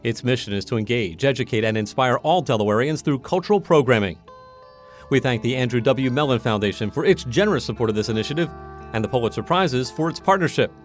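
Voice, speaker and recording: A man hosting a podcast in a measured, formal way, asking questions and reading the credits. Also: mid-range voice, expressive, faint music behind. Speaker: podcast host.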